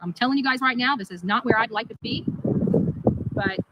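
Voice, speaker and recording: A woman's voice: a little high, fairly quick, heavy background noise. A woman livestreamer speaking in an emphatic, indignant and repetitive way as she vents. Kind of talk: live stream monologue, venting.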